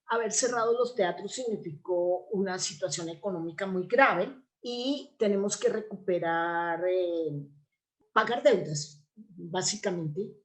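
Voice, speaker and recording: A woman's voice: medium pitch, darkish tone. Colombian woman answering in an interview. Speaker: interview guest, theatre practitioner.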